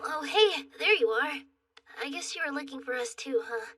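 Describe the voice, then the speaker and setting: A woman voicing a wounded, fiery young girl in an emotional animated drama, with a raw, expressive delivery. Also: high-pitched, moderate pace, far from the mic. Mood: angry.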